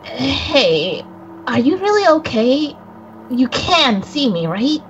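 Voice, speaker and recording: A woman's voice: high voice, big pitch swings, slow pace, highs cut off, a little hiss or hum, full character voice. A woman voicing a nervous young boy spirit who stammers and gives himself away. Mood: awkward. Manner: stammering, flustered, self-incriminating. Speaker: guest voice actor on a game stream.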